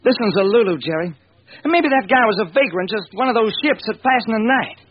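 An actor playing a blunt, wisecracking police lieutenant with a husky voice in an old radio crime drama. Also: male voice, sits high, expressive, brisk, a little hiss or hum. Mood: wry, baffled. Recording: dull vintage radio recording.

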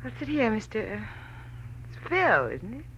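A woman's voice: on the high side, very animated, moderate pace, highs cut off. An actress playing a flirtatious, sly married woman in 1940s radio style, coy and teasing. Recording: noisy old radio recording.

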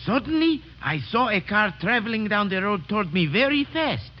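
Timid, swooping high-voiced foreign cart driver. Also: male voice, big pitch swings. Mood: frightened, excited. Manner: halting, humble, stilted foreign phrasing. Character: timid, deferential Turkish cart driver, wordy and frightened. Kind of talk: old-time radio drama acting. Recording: dull, noisy old radio recording.

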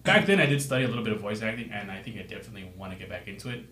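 Fast-talking low-voiced man, echoey room. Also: sing-song, bright, forceful tone. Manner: hesitant, self-deprecating, swears casually. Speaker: podcast co-host. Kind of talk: casual chat.

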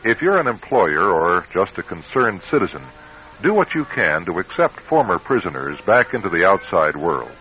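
Radio drama host: male, deep, bright and forceful, measured formal script reading, slightly muffled. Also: big pitch swings. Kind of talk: scripted narration. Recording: muffled old radio broadcast, faint music.

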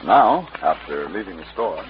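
A man's voice: sits low, very animated, moderate pace, noisy background. A man giving dramatic scripted narration as the announcer of an old radio show. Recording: muffled vintage radio recording.

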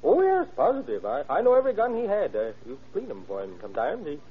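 An actor in an old-time radio drama playing an excitable, folksy old gun tinkerer who stammers in rustic phrases. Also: male voice, fairly high pitch, fast, husky, exaggerated voice. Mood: flustered, insistent. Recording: noisy, narrow-band old radio recording.

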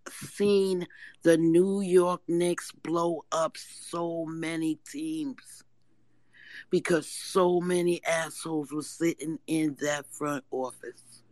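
A hoarse woman calling in to a live fan audio room, talking loud and emphatic, with some swearing. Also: deep voice, fairly even intonation, slow, no echo. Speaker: caller. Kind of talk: casual fan chat.

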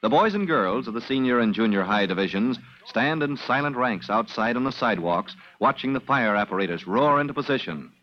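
A man's voice: medium pitch, expressive, a little fast, few pauses. A man narrating an old radio drama in a dramatic, declamatory announcer style. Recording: dull old radio with music.

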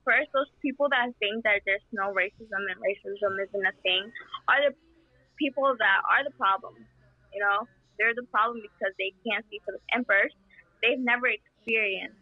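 A young woman from El Salvador, answering interview questions in an impassioned, halting way with many fillers. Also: mid-range voice, no room sound. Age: young adult. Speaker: interview guest. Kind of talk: earnest conversational answers. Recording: tinny phone-like line.

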